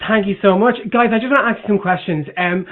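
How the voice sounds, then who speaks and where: A man's voice: high-pitched, fast, narrow, tinny audio. A man hosting a virtual conference panel from home, talking enthusiastically and repeating words for emphasis. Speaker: host. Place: home room. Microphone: earbuds on video call.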